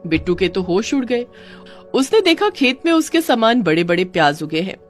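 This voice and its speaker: A woman's voice: mid-range voice, sing-song, moderate pace, faint music behind. A woman reading scripted narration for a children's moral story.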